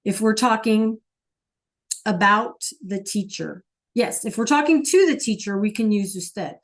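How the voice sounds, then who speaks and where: A woman's voice: mid-range voice, a little slow. A woman teaching an online Spanish class, patient and checking in with her students often.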